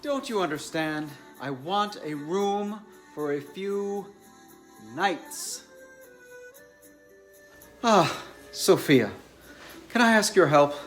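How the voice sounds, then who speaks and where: A man's voice: a little high, fairly bright tone. A man playing a courteous, awkward academic bachelor with polite, wordy phrasing in an amateur dramatized novel reading. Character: courteous, awkward English academic bachelor, privately exasperated in romance.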